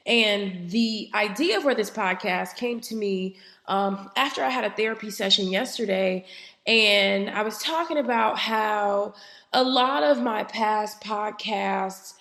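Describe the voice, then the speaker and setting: A woman hosting a solo podcast, talking reflectively while a bit raspy from a head cold, with lots of "um" fillers. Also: mid-range voice, takes it slowly, fairly bright tone, reverberant. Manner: conversational, frequent "um" fillers. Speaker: podcast host.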